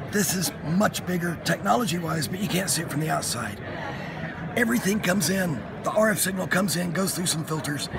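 High-pitched, creaky man in loud noise. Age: middle-aged. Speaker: head of product development demonstrating a product. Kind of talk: casual technical explanation. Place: busy trade show floor at an exhibit booth. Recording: very noisy convention hall, announcements behind.

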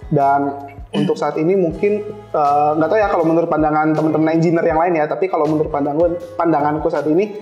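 A man's voice: a little high, fairly even intonation, fast, darkish tone, muffled recording. A young adult man, explaining things casually as an interview guest, often acting out examples. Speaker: interview guest, cyber security engineer. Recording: roomy echo, faint background music.

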